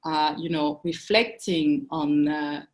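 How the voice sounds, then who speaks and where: A woman's voice: deep voice, flat delivery, slow. A woman from Cameroon, speaking thoughtfully as a curator on a video-call panel and often restarting her words.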